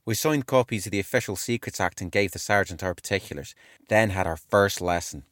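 Low-voiced, earnest young army clerk. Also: male voice, brisk, a bit bright, dry recording, studio quality. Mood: earnest, keen. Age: 20s. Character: earnest young British army clerk reading his wartime diary. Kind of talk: dramatised diary reading.

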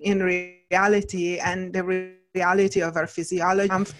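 A woman's voice: on the low side, level tone, moderate pace, soft background music. Italian-accented woman, chatty nutritionist. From Italy. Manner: chatty, many fillers like "like" and "you know". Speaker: nutritionist guest. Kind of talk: casual interview talk.

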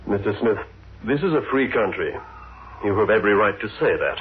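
A thin, phone-like vintage radio recording of a grave doctor. His low, very husky voice is formal and measured, pressing with earnest reproach. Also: sing-song, heavily acted. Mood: earnest, pleading. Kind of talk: 1960s radio drama acting.

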